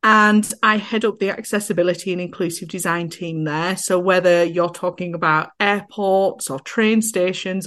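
A woman in her thirties with a Yorkshire accent, chatting cheerfully as a podcast interview guest and laughing easily. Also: fairly low pitch. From North Yorkshire, England. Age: 30s. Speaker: guest, accessibility consultant.

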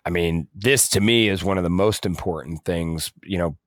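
A man's voice: deep voice, moderate pace, dry recording, clean studio sound. A man co-hosting a podcast, talking casually and earnestly in an informal, conversational way. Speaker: podcast co-host, music artist manager.

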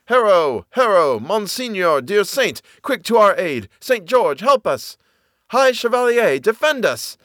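A man voicing ghostly medieval English bowmen in a story, crying out loud, archaic, fervent war-cries. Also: sits high, very animated, a little slow, no room sound, studio quality, voice pushed up, full character voice. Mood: pleading war cry. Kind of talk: storybook narration with acted voices.

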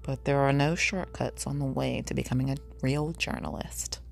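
A woman's voice: extremely low pitch, steady tone, moderate pace, vocal fry. Young woman student, acted by a woman, determined, exasperated, clipped, pointed, audiobook character, faint music behind. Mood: defensive.

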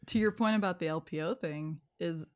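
A woman speaks in an earnest, reflective manner on a casual podcast, often poking fun at herself. Her voice sits a little low for a woman. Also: a little fast. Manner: earnest, self-deprecating, reflective. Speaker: podcast co-host.

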